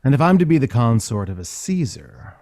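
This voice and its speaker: A man's voice: mid-range voice, big pitch swings, fairly quick, darkish tone. A man playing a starship captain's languid, teasing lover in a science fiction audio drama, speaking intimately. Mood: sly, coaxing.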